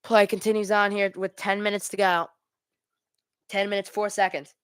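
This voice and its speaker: A woman's voice: medium pitch, level tone, normal speed, smooth, no echo, clean studio sound. A woman hosting a live hockey watch party, giving amateur play-by-play mixed with exasperated fan asides.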